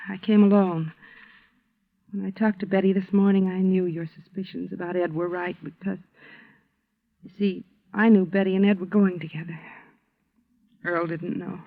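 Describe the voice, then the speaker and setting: A woman's voice: fairly low pitch, steady tone, normal speed, gravelly, long pauses, dry recording. An actress playing a frightened young woman caught up in a crime, in a 1950s radio drama. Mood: subdued. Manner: pleading, frantic. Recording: dull old radio broadcast.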